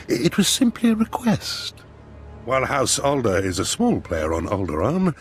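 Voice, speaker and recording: An actor playing a haughty, scheming Sith duke in a video game, with a pompous, formal, slippery manner. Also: male voice, lowish voice. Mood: oily deference. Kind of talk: video game cutscene acting.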